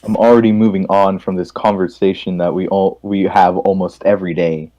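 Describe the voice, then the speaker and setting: A man's voice: deep voice, fairly even intonation, brisk, dark tone. A man reading game text aloud and reacting casually, playful and stumbling over lines.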